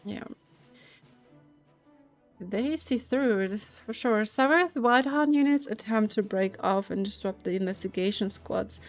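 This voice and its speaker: A woman streaming a game, reading text aloud and reacting casually with a giggly, expressive manner. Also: medium pitch, a little slow, faint music behind.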